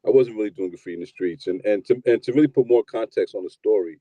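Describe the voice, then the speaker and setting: A man in his fifties from New York telling his story in a relaxed, rambling interview, often repeating words as he starts. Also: on the low side, quick pace, no room sound, lo-fi sound. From New York, USA. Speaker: guest, producer and DJ.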